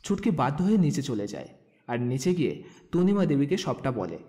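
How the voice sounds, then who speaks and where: A man's voice: mid-range voice, sing-song, normal speed, mellow. A man narrating a scripted romantic audio story.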